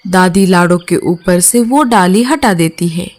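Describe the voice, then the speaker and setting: A woman's voice: on the low side, unhurried, darkish tone, slight background noise. A woman narrator reading a scripted children's cartoon story.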